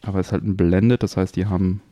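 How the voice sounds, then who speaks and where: A man's voice: deep voice, flat delivery, fast, dark tone, dry recording. A man hosting a podcast in a casual, chatty style. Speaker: podcast host. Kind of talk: casual chat.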